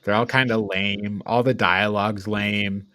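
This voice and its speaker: A man's voice: fairly low pitch, steady tone, a little slow, pushed and bright. A man co-hosting a casual film review chat in an informal, exasperated manner. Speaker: podcast co-host reviewing films.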